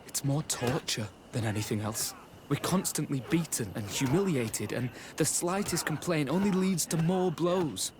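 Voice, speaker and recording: A man playing a tormented, bitter young military recruit in a video game cutscene, speaking gravely and earnestly. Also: fairly high pitch, lively intonation, moderate pace, close to an everyday voice. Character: tormented young male recruit, grim, bitter, guilt-ridden. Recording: clearly noisy game audio with ambience.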